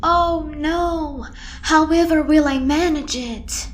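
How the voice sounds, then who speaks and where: A woman playing a clever, quietly confident adventurer with a dry, knowing manner in an audio drama. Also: high-pitched, slow. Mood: wry confidence.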